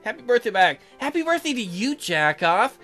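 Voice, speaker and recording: A man's voice: very high-pitched, very animated, normal speed, pushed and bright, voice pushed up, exaggerated voice. A man voicing a blunt young newlywed woman colonist in a visual novel, questioning and easily put out, hamming it up. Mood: curious, softened. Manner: indignant, questioning. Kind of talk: hammy visual novel character read-out. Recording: music behind the voice.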